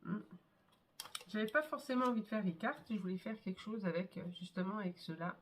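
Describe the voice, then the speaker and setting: A woman with a cold showing her scrapbook albums in a casual, chatty way, with many fillers and pauses. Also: mid-range voice. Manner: chatty, hesitant, many fillers and "hop, voilà". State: has a cold, congested. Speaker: scrapbooking vlogger. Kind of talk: casual show-and-tell craft video.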